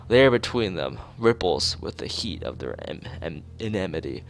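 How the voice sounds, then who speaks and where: A man's voice: sits low, very animated, moderate pace, creaky. A man streaming a visual novel, reading the text aloud and making casual asides.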